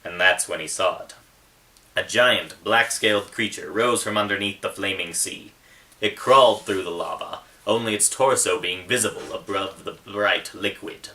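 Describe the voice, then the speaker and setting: A man with a fairly low, bright and forceful voice speaks as an author presenting his own writing. The microphone sounds set well away from him. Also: moderate pace, far from the mic, rough recording. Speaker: author reading from own book. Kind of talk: casual book intro, then narrated reading.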